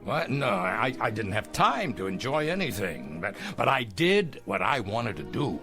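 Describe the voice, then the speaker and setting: An actor playing a shrewd, restless, boastful old tycoon in 1960s television drama, with theatrical, emphatic delivery. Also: male voice, on the low side, very animated. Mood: agitated, restless. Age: older. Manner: theatrical, blustering, chuckling, halting then emphatic. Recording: old TV soundtrack with background music.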